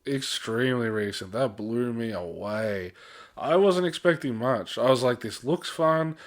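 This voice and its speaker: A man's voice: medium pitch, expressive, a little slow. A man with an Australian accent co-hosting a podcast, chatting casually in a blokey, informal way with some mild swearing. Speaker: podcast co-host. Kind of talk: casual pop-culture podcast chat.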